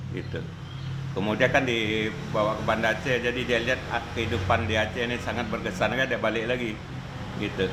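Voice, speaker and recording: A middle-aged man, recalling family history in casual talk, halting and full of fillers. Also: on the low side, flat delivery. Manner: halting, with filler words and trailing phrases. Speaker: interviewee, father. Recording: roomy with background noise.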